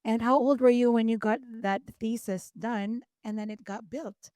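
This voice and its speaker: A woman's voice: a little high, fairly even intonation, moderate pace, darkish tone, dry recording, clean studio sound. A woman hosting a podcast, asking curious follow-up questions. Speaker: podcast host, interviewer. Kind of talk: interview questions.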